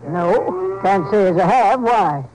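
An actress playing a tough, brash widowed rancher in a 1950s western radio drama, with blunt, folksy speech. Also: female voice, medium pitch, lively intonation, slow pace, a crowd in the background. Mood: blunt, businesslike. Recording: noisy old 1950s radio broadcast.

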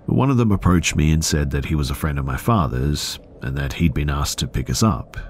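Deep male narrator for a true-story horror podcast; steady, measured first-person telling. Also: slight background noise. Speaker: narrator reading a listener's story.

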